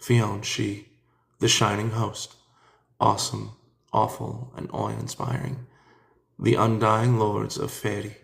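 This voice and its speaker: A man reading a fantasy audiobook in a measured, expressive storytelling manner. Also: on the low side, gravelly. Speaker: narrator. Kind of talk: dramatic fantasy audiobook narration.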